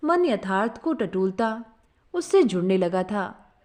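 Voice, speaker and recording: A woman narrating a scripted first-person story in an expressive, sentimental storytelling manner. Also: medium pitch, slow.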